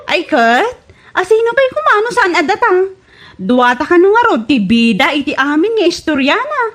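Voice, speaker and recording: An actress plays a bossy village woman in an Ilocano radio comedy. Her high voice moves animatedly up and down, teasing and questioning in an Ilocano accent. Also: big pitch swings, normal speed, fairly bright tone, exaggerated voice.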